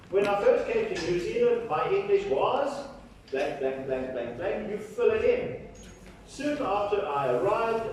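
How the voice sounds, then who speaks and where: A man's voice: sits high, darkish tone, far from the mic, slight background noise. Lecturing man in an echoey hall. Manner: checks in with the audience often. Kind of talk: academic lecture. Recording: echoey hall, speaker off-mic.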